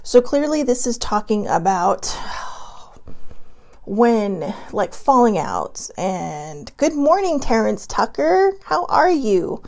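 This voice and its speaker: A woman's voice: fairly high pitch, big pitch swings, slow, gravelly, a little mellow. A woman raised in Hawaii chatting casually from home, bubbly and giggly as she rambles through a card reading. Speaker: vlogger doing a card reading. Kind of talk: casual livestream chat.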